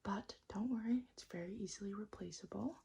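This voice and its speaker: A woman whispering gently and unhurriedly in a breathy voice, playing a reassuring repair technician in an ASMR roleplay, close to the microphone. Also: brisk. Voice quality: breathy whisper, mixed with soft low-volume speech.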